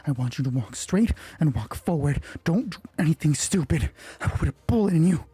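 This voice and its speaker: A man's voice: fairly high pitch, animated, a little fast, dark tone, slightly rough, dry recording. A man playing a female covert operative in a tabletop game, terse, profane and menacing, giving clipped commands. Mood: cold threat.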